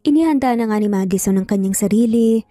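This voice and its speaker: A woman's voice: medium pitch, fast talker, warm tone, no echo, clean studio sound. A woman narrating a scripted dramatic story as a storyteller. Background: background music.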